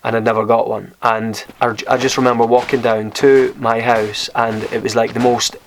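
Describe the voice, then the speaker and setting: A man's voice: medium pitch, flat delivery, normal speed, slight background noise. A young Scottish man vlogging, telling a personal story to camera in a candid, rambling way. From Scotland. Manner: rambling, candid, many fillers and restarts.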